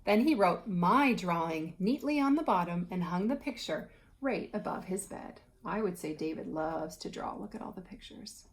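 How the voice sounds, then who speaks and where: A woman's voice: fairly low pitch, animated, moderate pace. A woman reading aloud and explaining things to children in a conversational way, like a teacher. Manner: conversational, asks the listener questions while reading.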